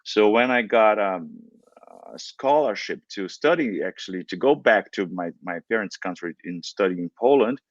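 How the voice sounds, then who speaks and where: A middle-aged man from Brazil, a native Portuguese speaker, talking in a halting way with many fillers and restarts as an interview guest. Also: deep voice, no room sound, dull audio. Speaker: guest opera singer. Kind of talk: relaxed interview talk.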